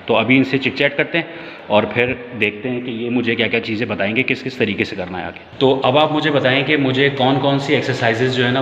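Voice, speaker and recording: A man's voice: medium pitch, a bit bright, lo-fi sound, slight background noise. Chatty man vlogging in echoey gym. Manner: chatty and joking, with many "theek hai" nods. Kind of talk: casual vlog chat. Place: indoor gym with hard walls. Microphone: phone camera held by hand.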